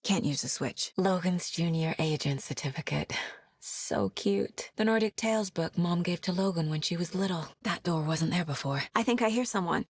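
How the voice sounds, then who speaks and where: Casting: determined female investigator, horror game. Lowish, slightly husky voice, naturalistic cinematic delivery, introspective and measured. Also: no room sound. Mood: focused, curious. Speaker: video game voice actress.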